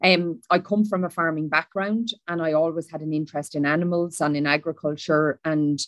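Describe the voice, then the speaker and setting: A woman's voice: sits low, no room sound. A woman in her forties from Wicklow with an Irish accent, giving a prepared talk as a panel speaker on a webinar. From Ireland. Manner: measured, speaks Irish then repeats in English. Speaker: panel speaker, former pupil.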